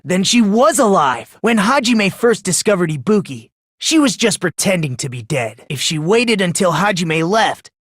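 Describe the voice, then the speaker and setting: An actor voicing a polite, self-loathing, eerily excitable teenage boy in an English video game, musing softly and prone to breathless gushing. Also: mid-range voice, dry recording, studio quality. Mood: calm musing.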